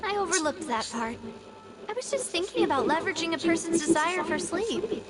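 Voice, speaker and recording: A poised young lady of a video game, playful and gracious. Her voice is very high and moves in lively swoops as she muses with genteel confidence. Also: brisk. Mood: playful, musing. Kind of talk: video game character acting.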